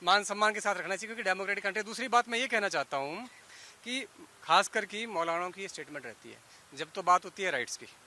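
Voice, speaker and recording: Fast, high-pitched man arguing. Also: sharp tone. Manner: repetitive, insistent, English words mixed in. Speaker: debate panelist. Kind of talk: argumentative panel debate.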